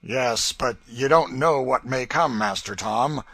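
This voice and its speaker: A man's voice: medium pitch, normal speed, gravelly, bright, forceful tone, slightly rough, no room sound, pitched above the performer's own voice, full character voice. A man voicing a brutal, sneering slave master who mocks and bullies in a coarse rural drawl. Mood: taunting. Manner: mocking, taunting, bullying.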